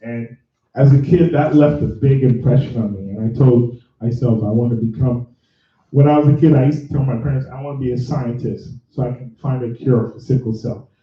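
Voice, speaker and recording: A man's voice: mid-range voice, brisk. A man from Nigeria talks a live audience through a career workshop, restarting his sentences and laughing along with the room. He sounds distant from the microphone in a very echoey room. The recording is dull and muffled. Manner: chatty, self-correcting, laughs with the room. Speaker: career bootcamp host and mentor.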